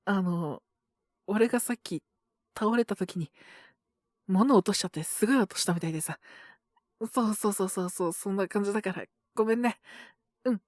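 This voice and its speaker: A woman playing a sick young male schoolteacher in a Japanese audio drama, gentle and flustered, speaking hesitantly in a weak, feverish mumble. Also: mid-range voice, no echo, studio quality, close to an everyday voice. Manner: hesitant, mumbling, trailing off with many pauses. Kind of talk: Japanese boys' love situation voice drama.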